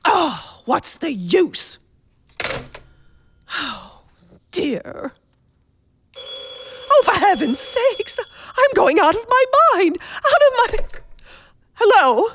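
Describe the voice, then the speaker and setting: A woman's voice: extremely high pitch, sing-song, very slow, pauses often, fairly bright tone, a little hiss or hum, exaggerated voice. A woman playing an imperious, self-pitying bedridden woman on the edge of hysteria in a radio thriller. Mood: hysterical. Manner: imperious, hysterical, haughty, breathless pleading. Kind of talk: old radio thriller acting.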